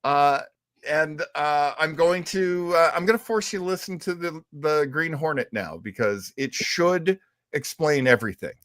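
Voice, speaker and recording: A man co-hosting a live talk show about old radio, telling its history as an expressive storyteller. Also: a little high, unhurried. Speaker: co-host.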